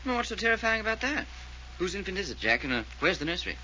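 An actor playing a genteel young English gentleman adventurer, polite and clipped, with a British accent in 1940s radio style. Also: sits high, sing-song, rapid-fire, exaggerated voice, low-quality recording. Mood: inquiring. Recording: old radio recording with faint hiss.

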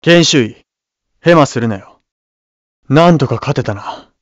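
An actor voicing a proud, cool young surgeon who is an armored hero in a video game, speaking in curt, haughty, solemn declarations. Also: male voice, medium pitch, animated, measured pace, no echo, muffled recording, close to an everyday voice. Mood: composed, determined. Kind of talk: video game battle voice lines.

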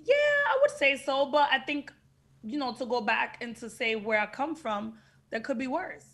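Bright, frank woman with Dominican-tinged English. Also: high voice, very animated, moderate pace. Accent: Dominican Spanish-tinged English. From Dominican heritage. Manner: frank, emphatic, streetwise, swears freely. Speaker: actress guest. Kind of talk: casual podcast interview. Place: indoor room with plain backdrop. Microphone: video call.

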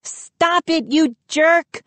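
Indignant, shrieky girl for an audiobook, played by a woman, very high-pitched and animated. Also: unhurried, no room sound. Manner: shrieking, screaming. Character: skinny scolding girl, indignant and easily alarmed. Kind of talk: audiobook character voice.

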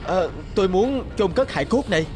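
A kind, timid young merchant's son in a cartoon fairy tale, played by a man. He speaks politely and earnestly in a fairly high voice, with music behind. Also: lively intonation, a little fast. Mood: compassionate.

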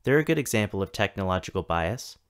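Measured man reading a keynote. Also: on the low side, expressive, smooth. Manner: measured, deliberate reading with list-like pauses. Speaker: keynote speaker, tech coordinator. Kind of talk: scripted keynote talk. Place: home or office, recorded for a virtual conference.

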